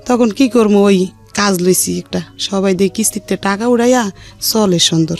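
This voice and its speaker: A woman's voice: medium pitch, normal speed, a little mellow. Woman, middle-aged, colloquial Bangladeshi accent. Unpolished, rambling life-story telling in an interview, faint music underneath. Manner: rambling, colloquial, plain-spoken. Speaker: interview guest. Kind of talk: personal life-story account.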